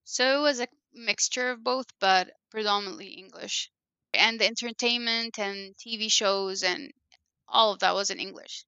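A woman speaks with a bright, clear and crisp tone. She rambles casually through her answers, restarting sentences and leaning on fillers. The audio is a little muffled and lo-fi, with a dry, close sound. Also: medium pitch, moderate pace, no room sound. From Egypt. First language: Egyptian Arabic. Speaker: guest, parenting consultant. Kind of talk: casual interview conversation.

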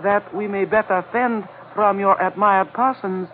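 High-voiced, flowery Chinese officer. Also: male voice, fairly even intonation, unhurried. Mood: smooth flattery. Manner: florid, ceremonious, obsequious broken English. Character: smiling, flattering Chinese police officer with menace underneath. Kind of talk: 1940s radio acting. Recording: noisy, narrow-band old radio recording.

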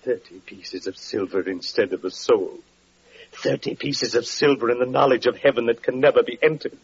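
An actor playing a bitter, lame, evil-faced wanderer with mocking, archaic declamation in an old-time radio drama. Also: male voice, mid-range voice, moderate pace, husky. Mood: anguished raging. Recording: muffled, noisy vintage radio recording.

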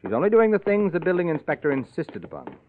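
Urbane cynical playwright, old-radio sound. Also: male voice, fairly high pitch, very animated, fast, slight background noise. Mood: easygoing. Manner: urbane, wry. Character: charming, cynical, dissolute male playwright. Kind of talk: 1940s-50s radio drama acting. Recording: old, narrow-band radio recording.

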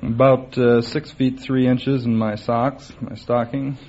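A man's voice: medium pitch, flat delivery, unhurried, darkish tone. A young man answering as a contestant in a hesitant, understated way. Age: young adult. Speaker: contestant, resident physician. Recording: dull old radio recording.

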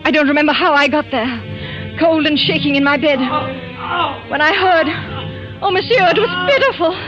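An actress playing an anxious, fearful French wife in a 1940s radio thriller, halting and dramatic. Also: female voice, sits high, lightly acted. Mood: anguished horror. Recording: muffled vintage radio recording with music.

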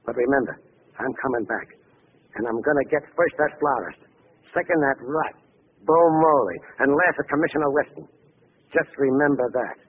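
A man's voice: medium pitch, normal speed, slightly rough, close to an everyday voice. A man playing a defiant, vengeful gangster in 1940s radio acting, with slangy tough-guy talk. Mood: menacing. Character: defiant vengeful American gangster facing execution. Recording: tinny, hissy old radio recording.

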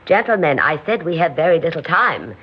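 An actress playing a commanding elderly hostess and conspirator in an old radio drama, speaking with deliberate, gracious authority. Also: female voice, low voice, very animated, slightly rough, full character voice. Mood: brisk, commanding. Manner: imperious, deliberate, theatrically gracious. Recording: muffled, noisy old radio recording.

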